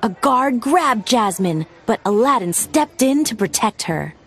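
A woman reading a children's storybook aloud as narrator, with expressive scripted storytelling delivery. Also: a little high, a little slow. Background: background music.

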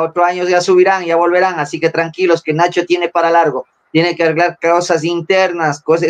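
A middle-aged man with an Ecuadorian accent, hosting a live sports talk show over a video call, chatty and repeating key phrases. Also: on the high side. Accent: Ecuadorian Spanish. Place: indoor room, video call. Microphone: webcam mic on a video call.

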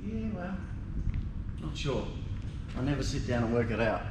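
An Australian man showing his collection, joking with a dry, deadpan humor in a large garage. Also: medium pitch, moderate pace, slight background noise. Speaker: collection owner. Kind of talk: casual chat.

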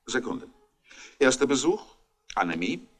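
An actor playing a chatty, wryly amused country doctor who thinks aloud and lists details, in a 1960s radio crime drama. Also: male voice, medium pitch, big pitch swings, unhurried.

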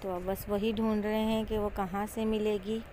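A woman's voice: mid-range voice, flat delivery, moderate pace, mellow. A woman casually narrating a vlog as she goes about. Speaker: vlogger, mother. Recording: clear outdoor street and vehicle noise.

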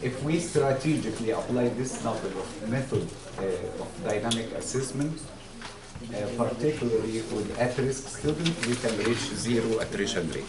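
A soft-spoken man opening a conference presentation in a measured way, recorded from the back of a large lecture room. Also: mid-range voice, lively intonation, a loud crowd. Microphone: far camera. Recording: echoey room, recorded from far, loud audience noise.

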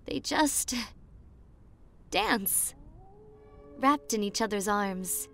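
A young woman playing a popular college girl on a spooky romantic date, in an ASMR roleplay with a hushed, dreamy manner and dramatic pauses. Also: medium pitch, expressive, creaky, soft background music, heavily acted. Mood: dreamy, storytelling. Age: young adult.

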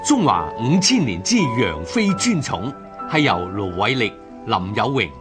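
A man's voice: on the low side, big pitch swings, measured pace. A man with a Hong Kong Cantonese accent reading a scripted announcement of programme credits. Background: music.

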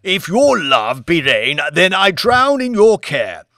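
A man's voice: high voice, sing-song, takes it slowly, sharp tone, dry recording, studio quality, voice pushed up, heavily acted. A man voicing a hot-tempered, grandiose old lord in an audiobook, declaiming with pompous melodrama. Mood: theatrical outrage. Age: older.

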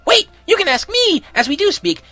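A man voicing an excitable, giggly talking cartoon sponge with a high, boyish, grating voice. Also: normal speed, no echo, heavily acted. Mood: gleeful. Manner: giggly, overeager.

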